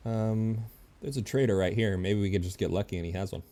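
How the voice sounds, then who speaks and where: A man streaming a video game, thinking aloud in easygoing casual chat and reading game text out loud. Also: fairly low pitch, fairly even intonation, brisk.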